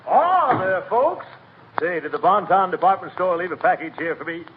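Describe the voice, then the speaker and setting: An actor playing a pompous, hearty middle-aged neighbor in a 1940s radio comedy. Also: male voice, sits high, animated, moderate pace. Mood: hearty, expectant. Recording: tinny 1940 radio broadcast, faint noise.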